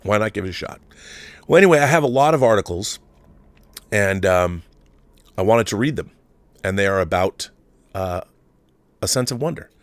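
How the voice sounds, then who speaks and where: Low-voiced man hosting, dry close sound. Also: lively intonation, normal speed, long pauses, no echo. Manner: conversational asides, repeats himself for emphasis. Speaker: livestream host. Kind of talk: casual live commentary, reading out comments and articles.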